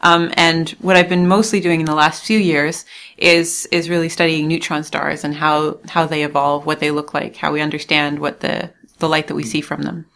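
A woman's voice: low-pitched, flat delivery, moderate pace, fairly bright tone. A woman from Canada, an astrophysicist explaining her work eagerly in an interview, often restarting her sentences. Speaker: astrophysicist guest. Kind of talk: interview answers.

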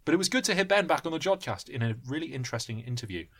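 A man's voice: a little high, animated, very fast, fairly bright tone. A man co-hosting a podcast in a casual, chatty way. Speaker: podcast co-host. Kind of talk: casual banter.

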